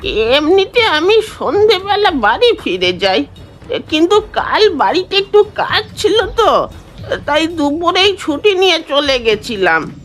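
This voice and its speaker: A woman playing an anxious household servant in an audio drama, speaking in a hesitant, halting, colloquial way. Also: very high-pitched, big pitch swings, fairly bright tone, slight background noise, full character voice. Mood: nervous, explaining. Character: anxious female household servant being questioned.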